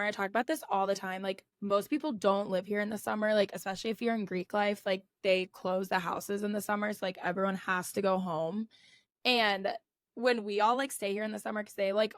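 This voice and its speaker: A young woman hosting a casual podcast, chatting with her friends and leaning on "like" in nearly every phrase. Her voice has a slight rasp and sits at an ordinary pitch. It is recorded close and dry. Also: no room sound. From United States. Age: 20s. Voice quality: a bit raspy. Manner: chatty, says "like" constantly. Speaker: podcast host. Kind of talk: casual chat.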